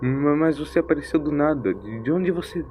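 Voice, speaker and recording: A man's voice: on the high side, expressive, a little fast, a little mellow, slight background noise, pitched above the performer's own voice, lightly acted. A man voicing a timid, curious young granddaughter in an audio story, hesitant and full of questions. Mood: nervous.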